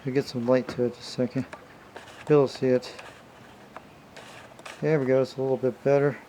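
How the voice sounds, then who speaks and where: A man's voice: medium pitch, little pitch movement, normal speed, dark tone. A man giving a casual, rambling hands-on show-and-tell as a radio hobbyist, speaking informally to his viewers. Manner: informal, rambling, addressing viewers as you guys. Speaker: hobbyist showing a vintage CB radio. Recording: noisy amateur home video.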